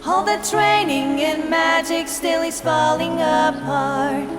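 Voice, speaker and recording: A woman singing a slow, comic fantasy ballad. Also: high-pitched, over loud music. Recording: reverberant hall, loud musical accompaniment.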